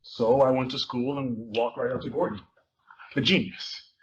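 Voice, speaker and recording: A man's voice: mid-range voice, fairly even intonation, moderate pace, close to an everyday voice. A man reading as a self-doubting teenage schoolboy narrator, hesitant and conversational. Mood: unsure, wry. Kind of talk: dramatic reading of a first-person novel. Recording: muffled room recording with faint noise.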